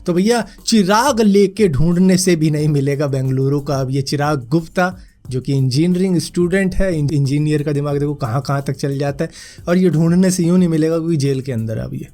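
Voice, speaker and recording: A young man with a slightly high voice that is roughened and hoarse from a recent fever. He runs through news items in a chatty, friendly way, talking straight to his viewers as friends. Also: fairly quick, mellow. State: hoarse throat after fever. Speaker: news vlogger. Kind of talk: casual Hindi news roundup.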